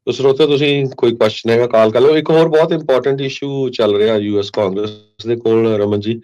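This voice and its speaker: A man hosting a radio talk show, discussing news somewhat haltingly with English terms mixed in. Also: mid-range voice, darkish tone, highs cut off.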